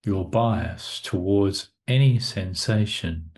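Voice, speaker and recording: A man leading a guided meditation as a teacher, speaking in short, measured phrases with long pauses between them. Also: low-pitched, a little mellow.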